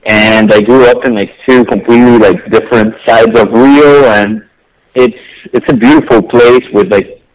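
A man from Brazil, a filmmaker guest, answering interview questions in a halting way with many fillers. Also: on the low side, poor audio.

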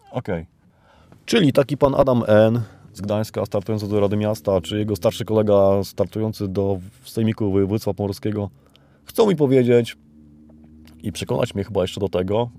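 Guy with a lowish mellow voice rambling ironically on his podcast, unscripted. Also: no echo. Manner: ironic, rambling, uses rhetorical tag questions. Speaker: podcast host. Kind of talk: unscripted spoken commentary, monologue.

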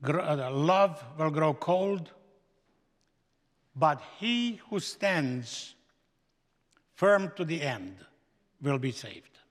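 A man with a Slovenian accent, a guest speaker giving a slow, emphatic sermon in a seminary chapel. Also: on the high side, lively intonation. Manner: slow, emphatic preaching with stretched-out phrases. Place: large chapel. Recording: echoey hall with long reverb.

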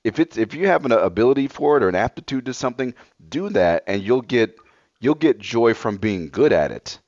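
A man's voice: mid-range voice, big pitch swings, a little fast, a little mellow, dry recording, highs cut off. A man in his thirties chatting casually as a podcast co-host, blunt and laid-back with a slightly scratchy voice. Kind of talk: casual chat.

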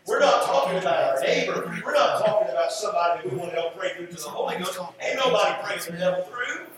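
An American man preaching a sermon in an emphatic style, with rhetorical repetition and deliberate pauses. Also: sits high, expressive, crowd noise. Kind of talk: sermon to a congregation. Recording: echoey, distant mic, faint background noise.

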